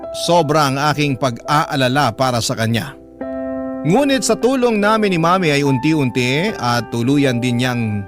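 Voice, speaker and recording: A man with a Filipino accent reading a letter as a heartfelt, measured dramatic narration. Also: mid-range voice. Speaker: radio storyteller reading a listener's letter. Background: music bed.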